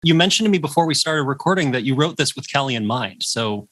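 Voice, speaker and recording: A man hosting a casual online interview, playful and joking, quick to laugh. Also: medium pitch, animated, a bit bright, dry recording. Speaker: host.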